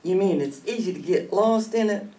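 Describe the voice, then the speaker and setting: A woman's voice: medium pitch, normal speed, mellow, natural voice. Older woman acting a country doctor, off-mic. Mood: urgent, inquiring. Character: brisk country doctor in a radio mystery. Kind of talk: scripted narration and 1940s radio acting. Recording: distant hall mic, faint noise.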